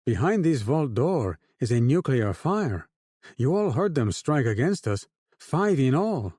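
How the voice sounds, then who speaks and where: A man's voice: medium pitch, very animated, a little slow, warm tone, husky, no echo, clean studio sound, pitched above the performer's own voice. A man voicing a young, oily male drug lord with a Spanish accent who speeches to his men in a falsely friendly, rousing way.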